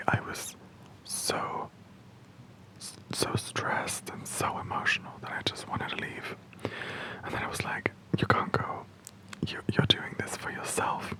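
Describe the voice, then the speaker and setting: A young adult shares a personal story entirely in a soft, breathy, unvoiced whisper, close and candid. The delivery swoops around a lot even while whispering, with a bright, crisp edge, and small laughs keep slipping in between sentences. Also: mid-range voice, rough recording. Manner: candid, self-deprecating, often breaks into laughter. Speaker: storytelling vlogger.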